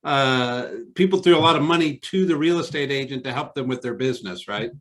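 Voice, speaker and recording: A man's voice: mid-range voice, fairly quick, fairly bright tone. A man hosting a casual interview over a video call, upbeat and conversational, prompting his guest with questions. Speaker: interview host.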